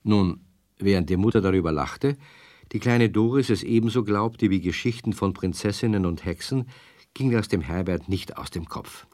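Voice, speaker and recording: A man's voice: lowish voice, moderate pace, no echo, studio quality. A man narrating a children's radio story, speaking directly to the young listeners. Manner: warm, addresses children directly.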